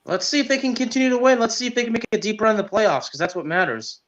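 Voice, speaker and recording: A man's voice: high-pitched, fast, fairly bright tone, a little hiss or hum. A man co-hosting a sports podcast, giving casual commentary on games. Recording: dull, lo-fi sound.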